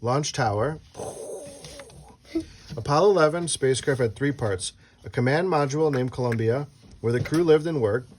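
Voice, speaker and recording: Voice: medium pitch, normal speed, long pauses, a little mellow, slight background noise. Someone reading a children's book aloud as host, playfully asking a child questions and adding casual asides.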